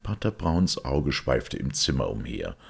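A man reading a detective story as an audiobook, narrating in a measured, deliberate literary style. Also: rumbling low, big pitch swings, creaky, breathy edge. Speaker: audiobook reader.

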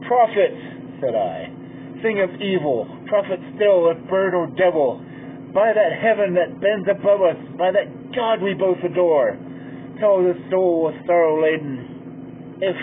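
A man reads a poem aloud in a drawn-out, theatrical way and rises to loud, pleading bursts. The sound is thin and boxy, like a phone line. A steady hum runs under his voice. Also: high voice. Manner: slow, theatrical delivery that swells loud in the narrator's pleas. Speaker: poetry reader. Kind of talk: dramatic poem reading. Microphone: poor-quality mic.

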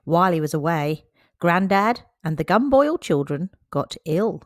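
A woman reading a children's picture book aloud, slow, clear and expressive. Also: on the low side, dry recording, studio quality.

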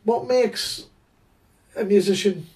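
A man's voice: high-pitched, slow, a little mellow, recorded from a distance. A man hosting a podcast interview, asking leading questions in an agreeable way. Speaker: podcast host. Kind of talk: interview chat.

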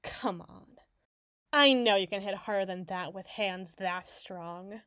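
A woman's voice: medium pitch, slow pace, pushed and bright, no echo, studio quality. A woman voicing an obsessive, masochistic lovesick girl in a theatrical audio roleplay, needy and taunting. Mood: needy, teasing. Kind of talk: dramatic ASMR roleplay monologue.

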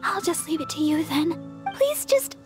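A woman voicing a gentle, polite, timid teenage girl healer in an anime-style video game, hesitant and sweet. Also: high voice, big pitch swings, moderate pace, music in the background. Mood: anxious, pleading.

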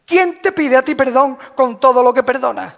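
Man, gravelly, solemn declamatory verse prayer in a big echoing hall. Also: extremely high pitch, moderate pace, a bit bright. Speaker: Holy Week proclaimer. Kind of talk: recited devotional verse proclamation. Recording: long hall reverb.

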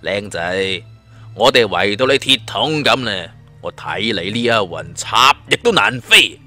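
A man's voice: lowish voice, sing-song, measured pace, sharp tone, soft background music, pitched below the performer's own voice, heavily acted. A man voicing a menacing, sneering bandit in an audio story, crude and jeering. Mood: gloating.

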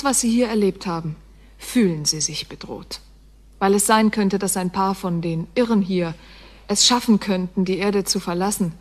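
A woman's voice: medium pitch, lightly acted. An actress playing an earnest, reproachful woman voicing a letter to a friend in a radio drama. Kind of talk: radio drama acting, reading a letter aloud.

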